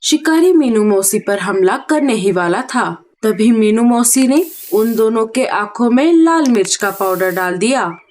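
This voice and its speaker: High-pitched, slow-paced woman storyteller. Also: animated, darkish tone. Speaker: narrator. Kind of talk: scripted children's story narration.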